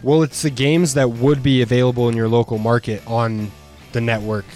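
A male radio co-host reads short news items and then explains the details calmly and in a measured way. His voice sits at a mid pitch, with soft music faintly behind him. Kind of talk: reading news items, then casual banter. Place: radio studio.

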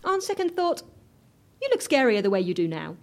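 A decisive, overworked office woman in an audio comedy. Her voice is high and very animated in pitch, with a dry, businesslike manner. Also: a little fast. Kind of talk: audio comedy drama acting.

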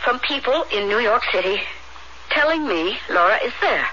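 A woman in a radio drama playing a distraught middle-aged mother, speaking in a flustered, halting, stammering way. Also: on the high side, lively intonation, bright, forceful tone. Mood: upset, agitated. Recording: muffled, faintly noisy old radio recording.

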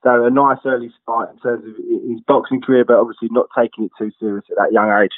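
A man's voice: mid-range voice, fairly even intonation, fast, no room sound. A man talking casually, commenting on a boxer's early career with restarts and asides. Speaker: co-presenter. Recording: phone-like dry audio.

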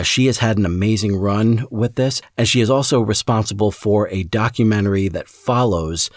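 A man with a fairly low voice introduces a podcast episode. He addresses his listeners directly with enthusiasm and gratitude. The sound is dry, with little room around him. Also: no echo. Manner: enthusiastic, appreciative. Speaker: podcast host.

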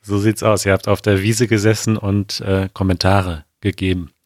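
A man co-hosting a podcast in relaxed casual chat, often hesitating and searching for words. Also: fairly low pitch, moderate pace, dry recording, studio quality. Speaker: podcast co-host.

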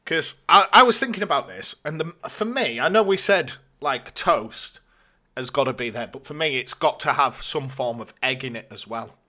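A man's voice: mid-range voice, expressive, normal speed, bright, forceful tone. A British man co-hosting a podcast, chatting in an informal, jokey way. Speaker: podcast co-host. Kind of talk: casual chat.

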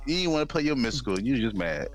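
A man's voice: medium pitch, big pitch swings, fast talker, faint music behind. A man co-hosting a casual sports talk show, teasing the host and mocking him. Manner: teasing, mocking, swings his voice up and down.

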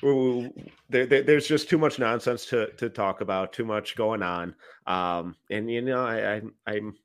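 A man hosting a sports podcast while fighting a cold, talking in a relaxed, halting way with stammers. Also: lowish voice. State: has a cold, sniffly. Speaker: podcast host. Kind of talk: casual sports podcast chat.

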